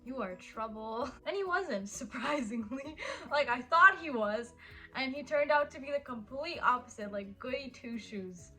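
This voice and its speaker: A woman's voice: sits high, animated, a little slow, bright, forceful tone, soft background music. A woman in her early twenties chatting casually and teasing her husband in a Q&A vlog, with lots of "like" fillers. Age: 20s. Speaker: vlogger. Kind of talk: casual couple Q&A chat.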